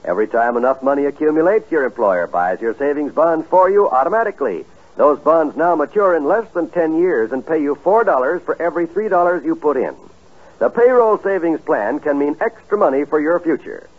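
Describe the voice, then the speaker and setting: A man's voice: on the high side, expressive, a little fast. A man reading scripted announcements as a 1950s radio announcer, in a polished, declamatory manner. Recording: tinny, narrow 1950s radio recording.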